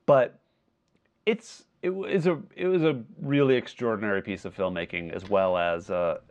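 A man's voice: fairly low pitch, normal speed, darkish tone. Man chatting, slightly dull lo-fi sound. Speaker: podcast co-host. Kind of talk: casual film discussion.

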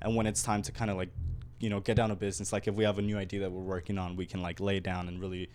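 A man's voice: sits low, level tone, racing, darkish tone, slight background noise. A man, a band's guitarist, speaking thoughtfully and earnestly about teamwork. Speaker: band guitarist, guest. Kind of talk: casual interview.

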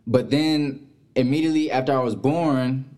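A man from Florida hosting a podcast in a medium-pitched voice. He talks with a hype, drawn-out delivery and stretches his words for effect. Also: fairly even intonation, a little mellow. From Florida, USA. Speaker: podcast host. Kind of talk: casual interview.

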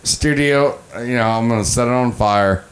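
A man's voice: medium pitch, moderate pace, clear, resonant tone. A man hosting a casual chat, swearing and laughing a lot as he teases his guests. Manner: profane, laughs a lot, teasing. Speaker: host.

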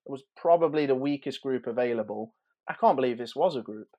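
Give me a man in his thirties with a medium-pitched voice, chatting informally like a sports podcaster. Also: fast talker, mellow, highs cut off. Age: 30s. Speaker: sports betting podcast host. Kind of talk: casual football preview chat.

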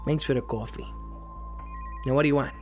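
A man playing a frustrated, obsessive police detective in an audio drama, halting and agitated, with a short fuse. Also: mid-range voice, fairly even intonation, a little mellow, faint music behind, close to an everyday voice. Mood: irritated, bitter.

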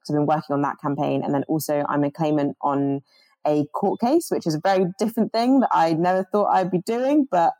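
A young woman with a low, mellow voice talks earnestly about her organizing over a video call. She restarts her sentences often as her thoughts run ahead, and the sound is dry and close. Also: a little fast, no echo, poor audio. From born in Jamaica, grew up in the UK. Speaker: climate organizer guest.

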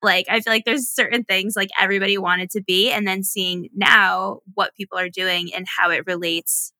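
A woman's voice: mid-range voice, fairly even intonation, moderate pace, sharp tone, no echo, poor audio. A woman co-hosting a podcast, musing aloud in casual conversation with fillers. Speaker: podcast co-host.

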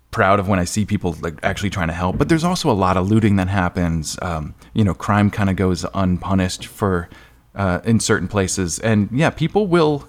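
A man hosting a podcast interview in a casual, informal way, thinking aloud with some hesitation. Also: deep voice. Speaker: podcast host interviewing an author.

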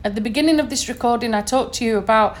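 A woman with a Sheffield accent speaks in a fairly high voice, talking earnestly to her listeners like an educator. She keeps checking in with a quick "okay?" as she works through her points. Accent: Sheffield English. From Sheffield, northern England. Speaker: educator giving a talk. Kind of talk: informal recorded talk to listeners.

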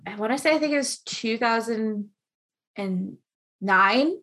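A woman in her late twenties hosts a casual interview over a video call. She talks in a slightly high voice, chatty and animated. She keeps acting out the lines of people in her stories. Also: measured pace. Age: 20s.

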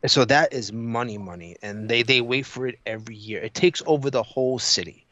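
A man's voice: medium pitch, a little fast, pushed and bright, no echo, muffled recording. A man co-hosting a podcast, chatting casually about his opinions in a blunt, emphatic, profane way. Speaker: podcast co-host.

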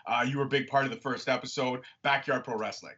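A man's voice: mid-range voice, fairly even intonation, fast, sharp tone. Casual, enthusiastic young male podcast host. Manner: enthusiastic, casual, swears freely. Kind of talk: casual interview chat. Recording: slightly dull lo-fi audio.

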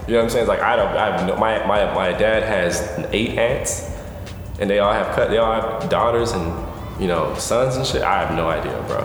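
A young man from Texas who talks in a deep, fairly monotone voice, in relaxed slangy chat with frequent laughs. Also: brisk, heavy reverb, in a large hall. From Texas, USA. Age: young adult. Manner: laid-back slangy talk, laughs often. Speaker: podcast guest. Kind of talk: casual chat.

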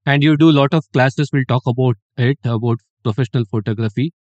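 A man's voice: medium pitch, fast, darkish tone, dry recording. A man hosting an interview, asking long, hesitant questions. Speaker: podcast host. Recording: dry, dull lo-fi audio.

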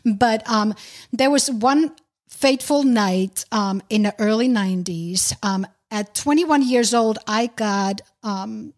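A woman's voice: fairly high pitch, slow pace. A middle-aged woman from Finland, hosting a podcast and telling a personal story. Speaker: podcast host.

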